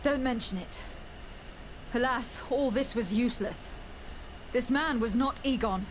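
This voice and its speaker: A woman's voice: on the high side, measured pace, slight background noise, lightly acted, low-quality recording. An actress playing a composed, resolute noblewoman in a video game, speaking formally and with assurance. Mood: composed, determined.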